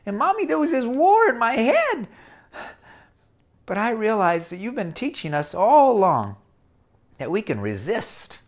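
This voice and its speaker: A man's voice: high-pitched, wildly swooping pitch, unhurried, long pauses, voice pushed up, full character voice. An eager little girl from a storybook, as a male storyteller puts her on, talking earnestly and a bit raised, her voice bouncing around as she explains herself.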